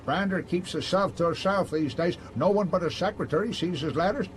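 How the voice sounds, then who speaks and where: An actor playing a folksy, plain-spoken old frontier scout with an American accent in a radio drama. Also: male voice, high-pitched, brisk, a little hiss or hum, close to an everyday voice. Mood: grave, reminiscing. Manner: folksy, rambling storyteller.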